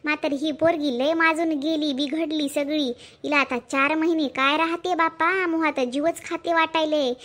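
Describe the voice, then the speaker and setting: A woman voicing a nagging rural mother who scolds her grown daughter with rapid, sarcastic talk. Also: high voice, steady tone, fairly bright tone. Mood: grumbling.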